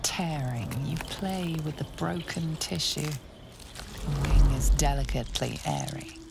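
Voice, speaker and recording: A woman playing an ominous, hushed narrator of a fantasy video game, with deliberate, mysterious delivery. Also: deep voice, gravelly, full character voice. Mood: hushed, eerie. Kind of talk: dramatic second-person game narration. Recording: music behind the voice.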